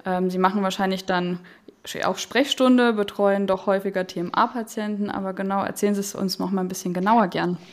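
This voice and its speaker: A woman's voice: medium pitch, fairly even intonation, brisk. A woman hosting a medical podcast, reading a scripted introduction and asking questions with some hesitation. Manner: friendly, clear, with hesitant questions. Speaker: podcast host.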